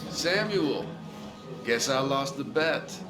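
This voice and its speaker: An actor playing a cynical small-town drunk in a video game, blunt and teasing with a sardonic manner. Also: male voice, mid-range voice, expressive, slow pace, music in the background.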